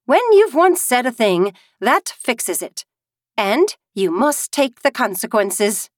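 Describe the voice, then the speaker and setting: A woman's voice: a little high, sing-song, slow pace, no room sound, studio quality, pitched above the performer's own voice. A woman voicing a stern, haughty and stiff queen in a storybook reading.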